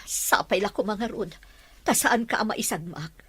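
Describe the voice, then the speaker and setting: A woman's voice: medium pitch, moderate pace, slightly rough. An actress playing a worried, protective middle-aged mother in an Ilocano radio drama. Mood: fearful, resigned. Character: anxious, protective mother of a grown son.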